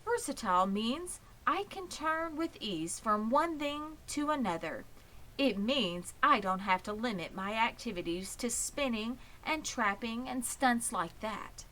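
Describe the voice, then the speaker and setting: A woman voicing a sensible female storybook spider who is brisk, precise, firm and matter-of-fact. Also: fairly high pitch, animated, fairly bright tone, a little hiss or hum, voice pushed up, low-quality recording. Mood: self-assured. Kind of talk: storybook character reading.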